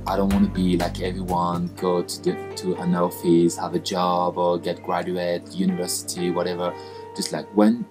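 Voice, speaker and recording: Upbeat male interviewer over music. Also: low voice. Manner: eager, upbeat, many affirming murmurs. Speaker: interview host. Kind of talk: casual interview. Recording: music playing behind.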